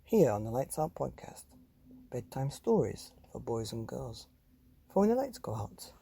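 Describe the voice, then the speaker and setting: A man hosting a children's bedtime story podcast, speaking directly to young listeners in a measured, deliberate way. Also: mid-range voice, sing-song, creaky, dark tone, husky. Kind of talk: scripted children's podcast narration.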